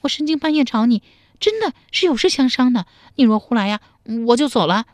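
A woman voicing a bossy, bold young country woman in a storybook, sharp-tongued and teasing. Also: high-pitched, sing-song, fairly quick, no room sound, voice pushed up. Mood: spirited chatting. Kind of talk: dramatized storybook dialogue.

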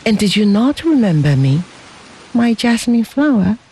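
A narrator voicing a loving but troubled young husband in an audiobook, speaking quietly and murmuring. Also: mid-range voice, sing-song, measured pace, breathy edge, voice pushed up, heavily acted. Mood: tender. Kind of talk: audiobook character voice.

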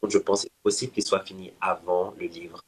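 A man answering interview questions in a plain, calm way with some fillers. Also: low-pitched, fairly quick, slightly rough. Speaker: guest.

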